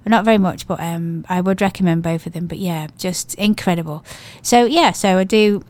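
A woman with an English accent presenting a radio show, her voice croaky and hoarse from a cold, chatting in a gushing, rambling way. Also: fairly low pitch, normal speed, a little mellow. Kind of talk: casual radio chat.

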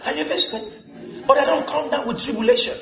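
A man preaching a lively sermon as pastor, with jokes, asides and laughter, in a church. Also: very high-pitched, brisk, a crowd in the background. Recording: echoey church with music.

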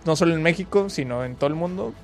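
Guy sharing strong football opinions, kinda high voice. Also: expressive, a little mellow, faint music behind. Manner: opinionated, quick agreeing interjections. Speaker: football pundit. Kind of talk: casual sports chat.

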